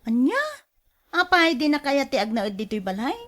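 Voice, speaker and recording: A woman's voice: high voice, expressive, moderate pace, fairly bright tone. A woman acting an assertive younger woman in a family radio drama, speaking emphatically with exclamations. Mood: indignant.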